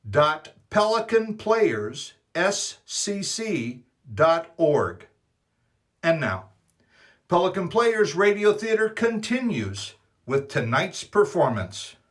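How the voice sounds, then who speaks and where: A male announcer reads scripted promotional copy. His voice is high for a man, and he goes slowly, with formal, deliberate delivery. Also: fairly bright tone.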